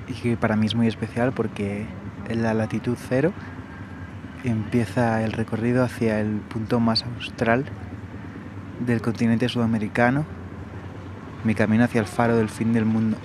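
A young man from Spain with a Barcelona Spanish accent, telling his travel diary in a calm, thoughtful and personal way. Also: mid-range voice, background noise. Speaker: travel vlogger. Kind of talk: reflective travel diary narration. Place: small bedroom in a hostel.

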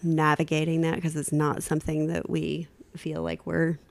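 Low, gravelly-voiced woman guest. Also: completely flat delivery. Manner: easygoing, laughing, halting restarts. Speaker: podcast co-host guest. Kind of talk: casual interview chat.

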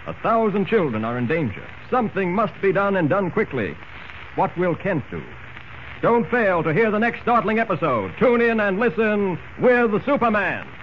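A man's voice: high-pitched, sing-song, normal speed, slight background noise. Urgent, declamatory man announcer. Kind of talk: dramatic serial narration. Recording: muffled old radio transcription.

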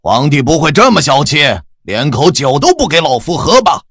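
Proud old general, husky, gravelly, wildly varied intonation, imperious, period radio play. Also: male voice, a little high, big pitch swings, moderate pace, a bit bright, dry recording, studio quality, full character voice. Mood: demanding. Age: older. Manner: imperious, calling out. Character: proud, stubborn old imprisoned general, gruff and weary. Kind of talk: Chinese period audio drama acting.

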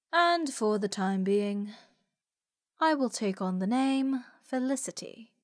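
A woman's voice: medium pitch, natural voice. A woman voicing a livid, haughty tsundere demon in a scripted roleplay audio, condescending and scornful, with theatrical sighs. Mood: bossy, scornful.

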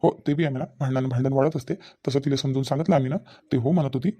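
Man acting an earnest, fast-talking village father. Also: mid-range voice, steady tone, dark tone, lightly acted. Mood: pleading, reasoning. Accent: rural Maharashtrian, Vidarbha. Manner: earnest, reasoning, repeats himself, questioning tags. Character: concerned middle-aged village father of a married daughter. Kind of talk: Marathi comedy cartoon dialogue.

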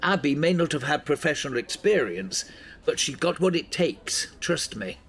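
An actor playing a middle-aged, supportive local newspaper editor in an audio drama. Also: male voice, a little high, very animated, moderate pace, a bit bright. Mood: encouraging, chatty.

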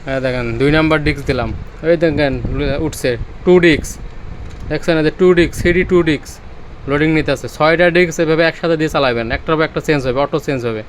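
Fast-talking Bangladeshi salesman with repetitive patter. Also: fairly high pitch, a little hiss or hum, poor audio. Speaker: seller demonstrating used audio equipment. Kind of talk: casual product demonstration.

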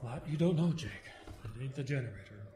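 A man's voice: medium pitch, expressive, close to an everyday voice. Man playing weathered folksy storyteller, roomy sound. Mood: ominous. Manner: folksy, halting, solemn storytelling in clipped sentences. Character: weathered Ojibwe male storyteller recounting grim survival tale. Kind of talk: radio horror drama monologue. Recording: roomy, clear background noise and ambience.

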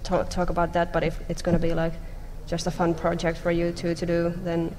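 A woman's voice: lowish voice, completely flat delivery, brisk, a little mellow. A young woman talking casually as a panelist on a stage, speaking into a table microphone. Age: young adult. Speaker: panelist, comic creator. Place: conference panel stage in a hall. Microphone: table microphone on a stand. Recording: echoey hall with some background noise.

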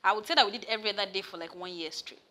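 A young Nigerian woman with a Nigerian accent, a massage therapist chatting as an interview guest and telling stories with animated bursts, recorded in a studio. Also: very fast. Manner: animated storyteller, rises loud and high when excited. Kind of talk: casual interview chat. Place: studio set with sofa, indoors.

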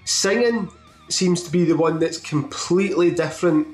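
A man's voice: a little high, normal speed, darkish tone, soft background music. A man hosting an interview, working his way through long, rambling questions on a video call. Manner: rambling, builds up questions at length. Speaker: interview host. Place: home room, on a video call. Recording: echoey video call audio.

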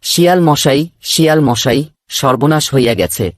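A man's voice: medium pitch, moderate pace, dry recording, clean studio sound, lightly acted. A man playing an alarmed animal servant in a cartoon, urgent and anxious. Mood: panicked. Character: alarmed servant animal reporting to his master. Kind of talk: Bengali cartoon dialogue.

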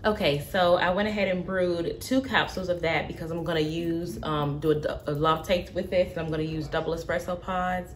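A young woman with a slightly low voice talks through a coffee tasting in her kitchen, relaxed and unhurried, often pausing on fillers. The recording comes from a camera set in front of her, with a faint hiss in the background. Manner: relaxed, thinking aloud with many fillers. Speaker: coffee vlogger. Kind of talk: casual tasting commentary. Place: home kitchen, indoors.

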